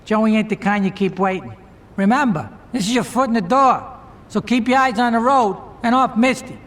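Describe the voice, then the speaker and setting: An actor playing a sleazy, pushy mob boss giving orders. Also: male voice, high voice, a bit bright. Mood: bossy. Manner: brash, demanding, fast-talking.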